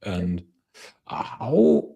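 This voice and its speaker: This is a male co-host with a lowish, gravelly voice. He speaks very slowly and thinks aloud. He chuckles between phrases, and the tone is husky. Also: wildly swooping pitch, mellow, lo-fi sound. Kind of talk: casual video-call interview.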